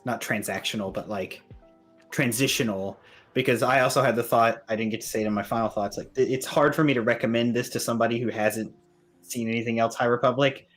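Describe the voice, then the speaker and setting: A man's voice: mid-range voice, fairly even intonation, fast talker, faint music behind. A man talking casually as a panelist in a fan discussion podcast.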